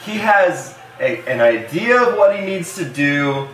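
A young American man co-hosting a casual vlog, chatting to camera in a halting, joking, colloquial way. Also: fairly high pitch, big pitch swings, fairly bright tone, a little hiss or hum. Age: young adult. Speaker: co-host. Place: small indoor room with hard table. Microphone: camera a few feet away. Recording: echoey room, distant camera mic.

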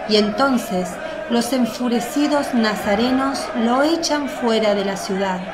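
A woman narrating a religious radio drama from a script, in a measured storytelling way. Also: a little high, a loud crowd, heavy background noise.

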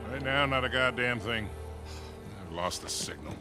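An actor playing a gruff, wry older American mentor and adventurer in a video game, salty and dry. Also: male voice, medium pitch, expressive, moderate pace, music in the background, close to an everyday voice. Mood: wry, grumbling.

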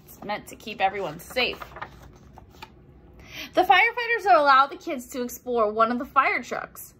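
A woman's voice: high-pitched, moderate pace, a little hiss or hum. A young adult woman reading a children's picture book aloud expressively, acting out sound effects and adding simple explanations. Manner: animated, acts out sound effects and exclamations.

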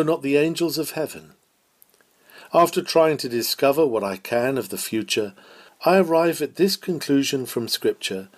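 A man reading a Victorian sermon aloud in a measured, formal, earnest manner. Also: fairly high pitch. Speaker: sermon reader.

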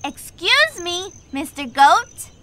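A woman's voice: very high voice, sing-song, slow, background noise, full character voice. A woman voicing a cheerful young girl explorer who guides the player through a children's game with encouraging instructions.

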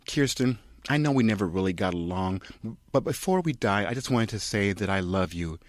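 A man's voice: lowish voice, lively intonation, normal speed, no room sound, lightly acted. A man voicing an exasperated, anxious woman with an earnest, questioning manner in a comic script reading. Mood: pleading. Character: exasperated, anxious woman, pleading sister and wife.

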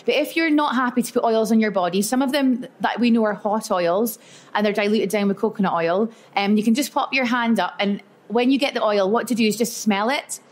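A woman's voice: on the high side, fairly quick. A woman giving a chatty, upbeat workshop talk to a live audience at an event. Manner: chatty and upbeat, storytelling with lots of "so" and "yeah". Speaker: speaker at a live expo talk.

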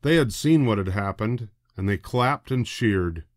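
An older man narrating a children's storybook in a deliberate, expressive way. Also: lowish voice, no echo, studio quality. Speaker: storybook reader.

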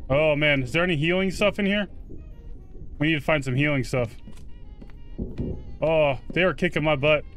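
A man's voice: fairly high pitch, normal speed, pauses often, a bit bright, faint music behind. A man giving casual, informal video game commentary with slang and mild swearing. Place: close mic indoors, game music behind.

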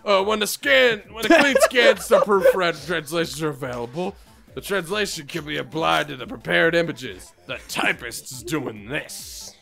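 A man plays a world-weary woman running a manga team in a comedic visual novel. The delivery is theatrical and crude, with a bright, forceful edge and fry, swinging widely in pitch. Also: lively intonation, normal speed, faint music behind, exaggerated voice. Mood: instructive, crude. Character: gruff, foul-mouthed, jaded female manga team leader.

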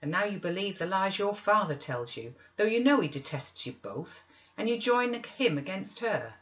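Woman playing stern old servant woman, raised pitch. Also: sing-song, normal speed, natural voice. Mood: reproachful, pleading. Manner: emphatic, scolding. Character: elderly female servant, stern, pleading, indignant storyteller. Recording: slightly dull webcam audio.

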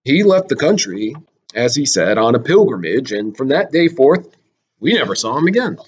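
A grave royal envoy of classical tragedy, read aloud by a man. He reports formally, in a measured, declamatory way. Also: medium pitch, big pitch swings, breathy edge, muffled recording, pitched below the performer's own voice.

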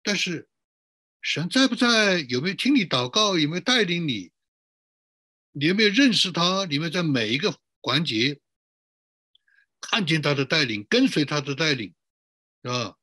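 A man preaches as a pastor, his voice fairly high and bright with a husky edge. He is emphatic, stacking short repeated phrases and questions as he teaches. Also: sing-song, moderate pace, pauses often, no room sound, clean studio sound. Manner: emphatic, repetitive listing. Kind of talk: sermon, teaching with rhetorical questions.

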